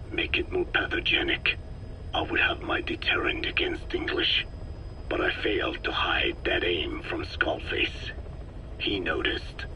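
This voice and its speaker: An actor playing a very old Navajo scientist from the American Southwest who speaks slowly and solemnly, recorded as a game cassette tape with hiss. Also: male voice. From Navajo Nation, American Southwest. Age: older. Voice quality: low, slow, measured and solemn, often breathy.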